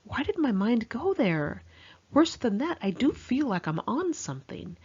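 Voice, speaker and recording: A woman's voice: fairly high pitch, very animated, measured pace, darkish tone, husky. A woman reading her own memoir aloud in a candid, wry, conversational and confessional way, with dramatic expression. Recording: muffled lo-fi home recording.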